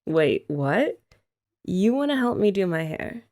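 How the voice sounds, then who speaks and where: A woman's voice: medium pitch, sing-song, a little slow, darkish tone. A young woman chatting playfully to a partner in an ASMR roleplay, teasing and laughing often. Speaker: ASMR creator. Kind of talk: scripted ASMR roleplay as a girlfriend.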